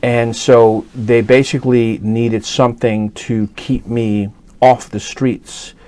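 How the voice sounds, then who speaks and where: A man's voice: mid-range voice, level tone, takes it slowly, dark tone. A middle-aged man from New York City talking casually as an interview guest, rambling with many fillers and restarts. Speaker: guest actor.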